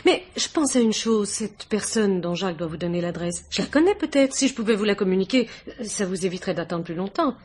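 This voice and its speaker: A devoted young wife in a vintage radio mystery. Her voice sits at a middle pitch with a soft, husky grain. She talks politely and freely, like a confiding hostess. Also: animated, fairly quick, hardly any pauses. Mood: animated, assured. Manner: polite, talkative, romantic, confiding. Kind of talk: 1950s French radio mystery acting.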